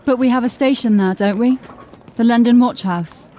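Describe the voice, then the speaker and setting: An actor playing an eager, brash young watchman in an audio drama, loud and keen. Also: very high-pitched, moderate pace, a little hiss or hum.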